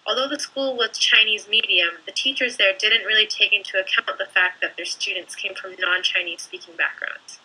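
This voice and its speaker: Husky bright woman, measured. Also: mid-range voice, poor audio. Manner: measured, reflective. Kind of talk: prepared statement.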